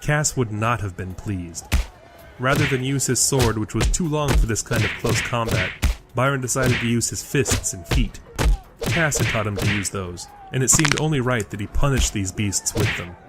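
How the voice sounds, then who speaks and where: A man's voice: mid-range voice, normal speed, over loud music. A man reading scripted narration for a fantasy audio drama.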